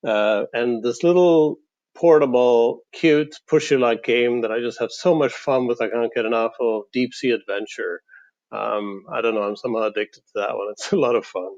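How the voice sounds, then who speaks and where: A man's voice: medium pitch, fairly even intonation, moderate pace, darkish tone. Man from Sweden, slightly dull audio. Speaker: podcast guest, game publisher. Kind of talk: casual chat.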